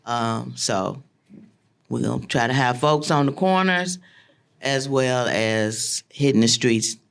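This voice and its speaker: Man, gravelly, creaky voice, informal, rambling, lots of ums, council meeting. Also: mid-range voice, takes it slowly, a bit bright. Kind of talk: public comment at council meeting. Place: city council chamber.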